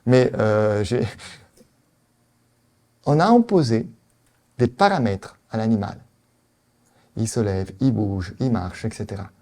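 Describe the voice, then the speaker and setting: A middle-aged man with an Italian accent, giving a conference lecture as a veterinary specialist, animated and full of rhetorical questions. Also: lowish voice, slow pace, long pauses.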